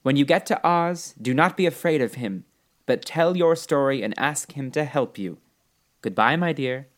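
A man's voice: mid-range voice, lively intonation, normal speed, pitched above the performer's own voice, close to an everyday voice. A man voicing a kindly little old woman, a good witch in a storybook, with a solemn, reassuring manner. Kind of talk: storybook character reading.

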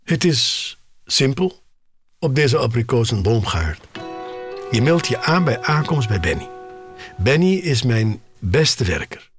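A man narrating an audio drama in the first person, in a measured, expressive way with pauses between short phrases. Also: mid-range voice, soft background music.

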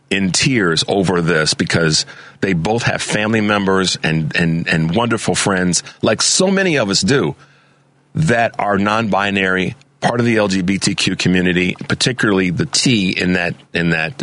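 Fervent male radio host, very deep voice, dry close studio sound, preachy monologue delivery. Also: fairly bright tone, dry recording. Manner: fervent, preachy, repeats words when stirred. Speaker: radio talk show host. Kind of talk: impassioned political talk radio monologue. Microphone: studio mic.

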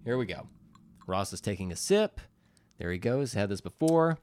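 A man's voice: lowish voice, sing-song, normal speed, a little mellow, clean tone, no echo. A man co-hosting a casual comedy podcast, joking crudely and enthusiastically as he chats. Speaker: podcast co-host. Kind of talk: casual comedic banter, taste-testing sodas.

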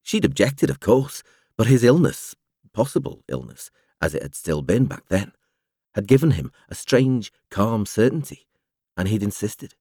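A male narrator with a fairly low voice reads a novel aloud. His intonation is lively and moves widely as he shapes each phrase in a measured storytelling manner. The sound is dry and close, like a studio audiobook. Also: no room sound. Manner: expressive, measured storytelling delivery. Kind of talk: scripted literary narration.

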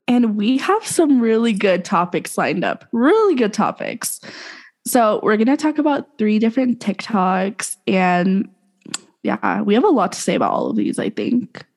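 A young woman co-hosts a casual podcast with a pitch that swoops up and down all the time. She talks in a giggly, slangy way, and a slight sore throat shows in her voice. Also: a little mellow. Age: young adult. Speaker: podcast co-host. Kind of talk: casual chat.

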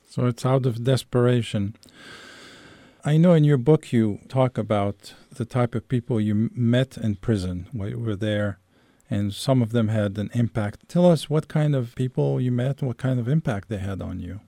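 Mellow male interviewer from Algeria. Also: mid-range voice, normal speed, no room sound, clean studio sound. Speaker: interviewer, radio host. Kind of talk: interview questions with prepared commentary.

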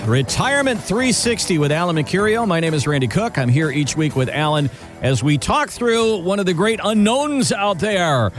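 A man's voice: sits high, big pitch swings, normal speed, faint music behind. A man co-hosting a weekend radio show about retirement money, talking in an upbeat, chatty way and tossing leading questions to his co-host. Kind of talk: conversational radio finance talk.

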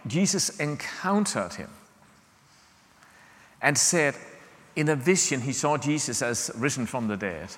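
A man from Denmark preaches in a Danish accent, his voice bright and forward. He talks to the congregation in a loose, chatty way, full of ums and restarts, and checks in with a quick "yeah?". A long church reverb trails behind every phrase. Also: mid-range voice. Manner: conversational, many fillers and restarts, checks in with "yeah?". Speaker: visiting preacher. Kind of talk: sermon.

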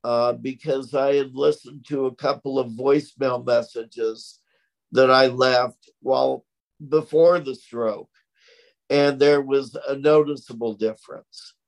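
A middle-aged man talks in an interview at a very unhurried pace. His voice is loud and projecting, and he over-articulates each word with care. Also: mid-range voice, fairly even intonation, creaky, rough recording. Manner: slow, deliberate, over-articulated speech. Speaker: interview guest, stroke survivor. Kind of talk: conversational interview.

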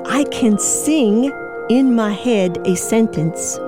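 A woman with a foreign-sounding accent tells a personal story in an emotional, halting manner. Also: a little high, expressive, over music. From Indiana, USA. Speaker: storyteller, interviewee.

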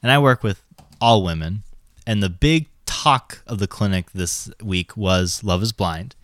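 A man's voice: on the low side, measured pace, fairly bright tone, no echo, clean studio sound. A young man co-hosting a podcast, telling stories in a laid-back, self-deprecating way and laughing often. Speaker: podcast co-host. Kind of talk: casual chat.

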